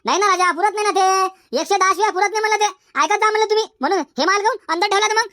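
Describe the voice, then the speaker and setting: Squeaky cartoon bazaar haggler, folksy and insistent, very high and bright, dry close sound. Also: fast talker, dry recording. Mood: firm haggling. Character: haggling, bantering cartoon chilli-market traders. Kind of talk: comedic cartoon dialogue in colloquial rural Marathi.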